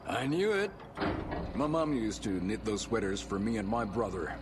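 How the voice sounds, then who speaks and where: Jovial small-town game character, chatty salesman, very lively intonation, clear ambient noise behind. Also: lowish voice, normal speed. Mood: friendly, enthusiastic. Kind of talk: video game character acting.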